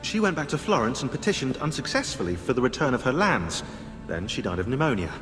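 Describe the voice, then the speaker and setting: An actor playing a pedantic, sardonic intellectual in a video game, flippant and deadpan. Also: male voice, medium pitch, fast talker, big-room sound, over music, lightly acted. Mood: dry. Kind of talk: video game cutscene acting.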